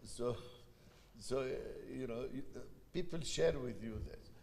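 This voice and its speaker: A man with an Egyptian accent moderating a university panel discussion, speaking in a thoughtful, halting way with frequent restarts. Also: mid-range voice, gravelly, darkish tone. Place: university event hall. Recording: long hall reverb, faint background noise.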